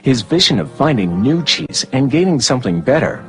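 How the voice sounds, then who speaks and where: A man giving scripted storybook narration in an expressive way, with music behind him. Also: medium pitch, normal speed, poor audio.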